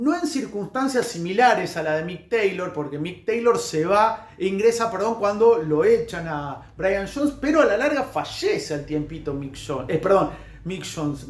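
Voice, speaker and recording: A man with an Argentine accent talking casually about music history to camera, digressing and often stopping to correct himself. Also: on the high side. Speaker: music vlogger. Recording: echoey room.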